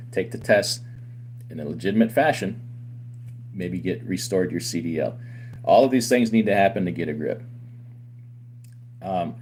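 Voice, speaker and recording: Man, very deep voice, trucking company owner, emphatic argumentative commentary, rhetorical questions, faint background hum. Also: darkish tone. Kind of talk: opinionated commentary to camera.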